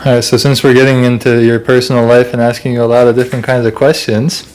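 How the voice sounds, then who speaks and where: A man's voice: mid-range voice, little pitch movement, quick pace, darkish tone, slight background noise, low-quality recording. Man casually interviewing, teasing tone. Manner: teasing, prompting questions. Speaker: interviewer, husband. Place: home kitchen.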